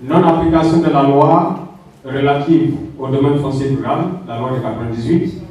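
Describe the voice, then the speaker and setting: A man's voice: mid-range voice, fairly even intonation, moderate pace, warm tone. A man presenting a list of points at a community meeting, recorded from afar in an echoing hall. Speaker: speaker at community dialogue. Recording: very echoey hall, distant microphone.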